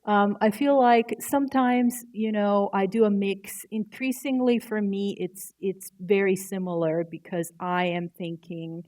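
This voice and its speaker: A woman's voice: mid-range voice, fairly even intonation, a little slow, hardly any pauses, darkish tone. A woman whose first language is Croatian, an artist and typographer answering panel questions in a thoughtful, candid way. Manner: thoughtful, searching for words, candid. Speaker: artist and typographer, university educator.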